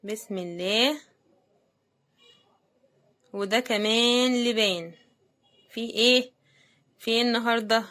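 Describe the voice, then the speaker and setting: Higher-pitched Egyptian woman, casual unboxing chat. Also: unhurried, long pauses, fairly bright tone. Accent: Egyptian Arabic. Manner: chatty, addresses viewers directly. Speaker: host of product unboxing videos. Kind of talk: casual unboxing commentary to viewers.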